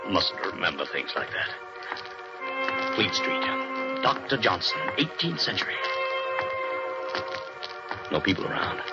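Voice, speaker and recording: A daring diplomat husband. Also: male voice, lowish voice, unhurried, vocal fry, pauses often, full character voice. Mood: tense. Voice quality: lively, clipped, emphatic delivery. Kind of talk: 1940s radio acting. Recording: muffled 1940s radio broadcast with music.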